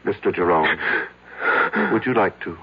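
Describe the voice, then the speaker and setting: A man's voice: low-pitched, moderate pace, natural voice. An actor playing a polite, patient man in a 1970s radio drama, on a muffled, hissy recording. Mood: gentle concern. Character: polite man patiently hearing another's confession.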